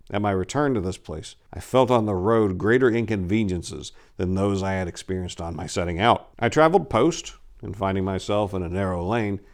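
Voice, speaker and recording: A man's voice: on the low side, darkish tone, lightly acted. A man voicing a boastful, gallant aristocratic adventurer, telling tall tales in a grand, theatrical storybook manner. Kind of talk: dramatic reading of an old storybook.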